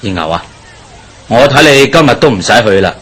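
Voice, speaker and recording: A husky, inquisitive village shop boss. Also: male voice, medium pitch, animated, a little fast, fairly bright tone, a faint crowd. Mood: curious, teasing. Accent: Hong Kong Cantonese. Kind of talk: radio drama acting.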